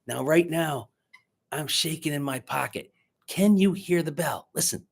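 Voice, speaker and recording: A man reading a picture book aloud dramatically to children, pausing to coax them with questions like a teacher. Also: fairly high pitch, vocal fry, breathy edge. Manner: dramatic, teacherly, coaxing young listeners with questions.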